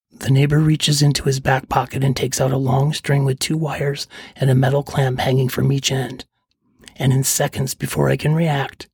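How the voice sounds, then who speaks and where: Husky, gravelly middle-aged male storyteller. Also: mid-range voice, dry recording, studio quality. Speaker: podcast host and storyteller. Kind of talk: scripted first-person narration. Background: faint music.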